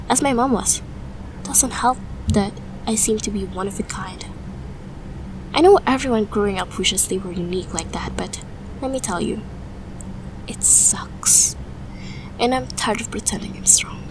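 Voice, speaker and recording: A woman's voice: mid-range voice, measured pace, long pauses, close to an everyday voice. Vulnerable young heroine played by a woman, noisy recording. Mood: frustrated. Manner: emotional, plaintive. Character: vulnerable, frustrated young woman with magical gift. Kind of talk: audio drama acting. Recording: noisy background hiss or ambience.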